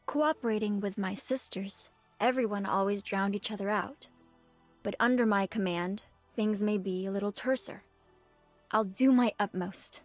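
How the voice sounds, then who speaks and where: A woman voicing an earnest, dutiful young nun archer in a video game, speaking politely and formally in measured phrases. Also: medium pitch, close to an everyday voice. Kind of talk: scripted game character voice lines. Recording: clean dry studio, music behind.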